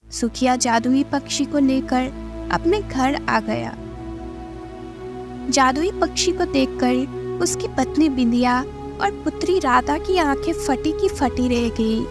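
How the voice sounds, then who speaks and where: Casting: female storyteller for kids' cartoon, high voice, slow scripted reading, music bed. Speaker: story narrator. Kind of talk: scripted children's story narration.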